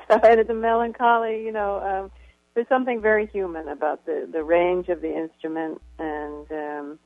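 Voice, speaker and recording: A woman violist with a low speaking voice, a radio guest talking thoughtfully with many fillers over the phone. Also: level tone, normal speed, no room sound. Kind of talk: casual interview chat. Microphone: phone call. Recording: tinny phone line.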